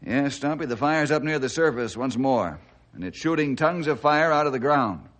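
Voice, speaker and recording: An actor playing an upright, steady forest ranger, earnest and authoritative, in an old-time radio adventure. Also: male voice, mid-range voice, normal speed, lightly acted. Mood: calm, businesslike.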